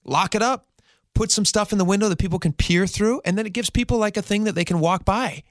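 A man's voice: sits high, quick pace, dry recording, clean studio sound. A man in his forties hosting a radio talk show, chatting casually in a rambling way with lots of fillers. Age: 40s. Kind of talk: casual chat.

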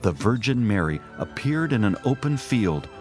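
A man with a very low voice delivers a scripted religious promo as an announcer. Soft music runs faintly underneath. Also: big pitch swings, moderate pace.

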